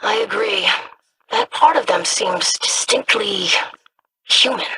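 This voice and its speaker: A performer voicing an earnest, studious scribe in a video game, speaking in a measured, scholarly manner. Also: medium pitch, expressive, background noise. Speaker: scribe investigating monsters.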